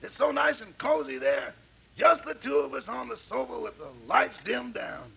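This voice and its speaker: An actor playing a wry household valet in 1950s radio comedy, with a raspy, gravelly voice and sly but respectful delivery. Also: male voice, extremely high pitch, normal speed, a bit bright, full character voice. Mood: sly, casual. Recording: muffled, slightly noisy 1950s radio recording.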